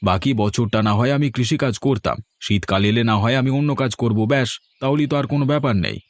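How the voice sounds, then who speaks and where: A man's voice: medium pitch, quick pace, dry recording, lightly acted. An older man voicing a worried, dismissive village farmer and uncle. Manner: brushing off, fretful.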